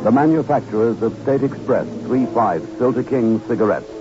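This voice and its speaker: A man's voice: medium pitch, animated, normal speed. A man making grand, portentous announcements in the style of 1960s radio. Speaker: show and sponsor announcer. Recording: muffled old radio, loud music behind.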